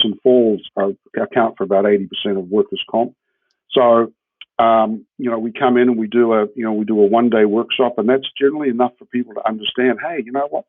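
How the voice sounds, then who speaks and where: A man's voice: lowish voice, slightly rough, no echo. A man with a New Zealand accent explaining his work informally as an interview guest, often checking in with the listener. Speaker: podcast guest, hemp builder. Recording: muffled, phone-like narrowband line.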